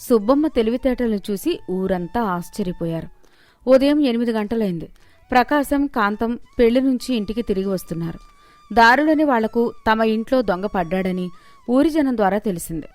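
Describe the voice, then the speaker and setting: Higher-pitched woman narrating a scripted story. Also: expressive, moderate pace, no echo, faint music behind.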